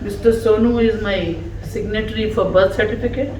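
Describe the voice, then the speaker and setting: A woman whose first language is Urdu giving a slow, heartfelt tribute speech at a farewell gathering. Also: mid-range voice, steady tone. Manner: slow, warm, emphatic, laughing between phrases. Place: office gathering room. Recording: echoey room from far off, faint noise.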